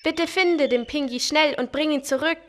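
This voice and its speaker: A woman's voice: high-pitched, normal speed, close to an everyday voice. A woman voicing Lolli, a cheerful and friendly young girl in a children's game, speaking eagerly and sweetly. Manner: bright, eager, sweetly pleading.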